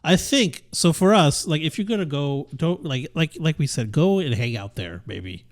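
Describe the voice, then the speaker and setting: A man's voice: on the high side, lively intonation, normal speed. A young man co-hosting a podcast, chatting in a rambling, laid-back way and swearing casually. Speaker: podcast co-host. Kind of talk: casual chat.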